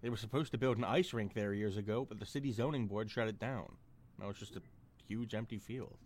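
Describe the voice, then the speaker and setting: A man playing a goofy, expressive young buddy in an audio roleplay, talking in a casual conversational way. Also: on the low side, brisk, close to an everyday voice. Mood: apprehensive, puzzled. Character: goofy, exuberant young male buddy driving his car.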